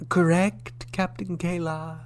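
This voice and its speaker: Very slow, sly alien ambassador, played by a man. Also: high voice, little pitch movement, a little mellow. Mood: sly bargaining. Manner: drawn-out, measured, insinuating politeness. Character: sly, suavely polite male Cardassian ambassador. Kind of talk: sci-fi radio drama acting. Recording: faint background hum and noise.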